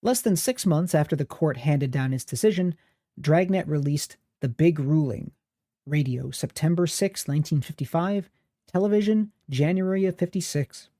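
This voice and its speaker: A man hosting a history podcast, reading scripted narration in a steady, formal way. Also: on the high side, moderate pace, no echo, studio quality. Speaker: podcast host.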